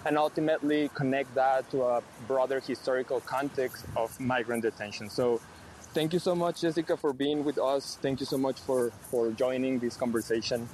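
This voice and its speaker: A man's voice: mid-range voice, steady tone, normal speed, darkish tone, rough recording. A man from Mexico hosting a live radio conversation unscripted, repeating phrases as he searches for words. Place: outdoors, outside a detention center. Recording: faint outdoor traffic noise.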